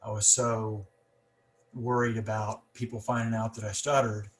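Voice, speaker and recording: Man, podcast host, deeper voice, stuttering with repeats and fillers, faint background hiss. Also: level tone, moderate pace. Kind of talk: casual conversation.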